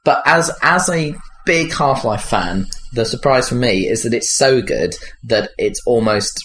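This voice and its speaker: A man's voice: medium pitch, lively intonation, measured pace, fairly bright tone. A man chatting casually about video games as a podcast host, rambling and hesitant with frequent swearing. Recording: clearly noisy background.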